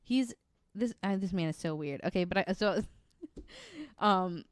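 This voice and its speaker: A woman's voice: medium pitch, big pitch swings, a little slow, no room sound. A woman hosting a true crime podcast in casual, giggly, informal talk. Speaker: podcast host.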